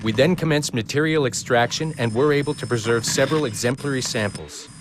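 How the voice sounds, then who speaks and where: Guy playing cold clinical corporate agent in a game, average pitch, formal report, noisy background. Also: brisk, lightly acted. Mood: clinical, matter-of-fact. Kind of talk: scripted formal report narration.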